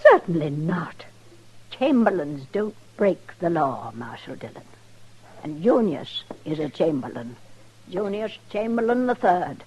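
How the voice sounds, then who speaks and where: A woman's voice: on the low side, big pitch swings, a little slow, a little mellow, breathy edge, heavily acted, rough recording. A woman playing a haughty elderly society widow, formal, demanding and indignant with clipped diction, in a radio western. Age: older. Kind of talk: old-time radio western acting.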